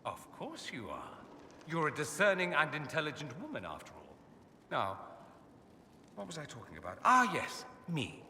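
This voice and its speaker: An actor playing a vain, sardonic young aristocratic mage in a video game, with a suave, theatrical and wry delivery. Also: male voice, fairly high pitch, wildly swooping pitch, moderate pace, sharp tone, reverberant, big-room sound, a little hiss or hum, heavily acted. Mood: theatrical, self-mocking. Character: witty, vain aristocratic male mage, sardonic rebel exile. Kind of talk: video game dialogue acting.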